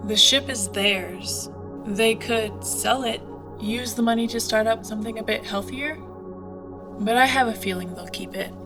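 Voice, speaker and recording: An actress plays a streetwise young woman who flies an airship, tough and protective of her own. She speaks in audio drama dialogue, with a defiant edge. Clearly audible music runs underneath. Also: fairly high pitch, unhurried, natural voice. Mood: resolute, confiding.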